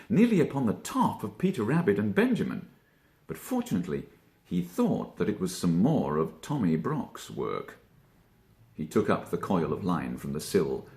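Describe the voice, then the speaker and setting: A man narrating a children's story theatrically, expressive and deliberate, drawing out key words. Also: lowish voice, vocal fry. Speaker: storybook reader, stage actor.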